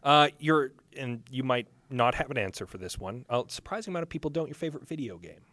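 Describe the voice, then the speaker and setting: A man's voice: medium pitch, animated, fast talker, fairly bright tone, dry recording. A man hosting a podcast, chatting casually as he interviews a guest at a live panel. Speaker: podcast host. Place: live panel with a small audience.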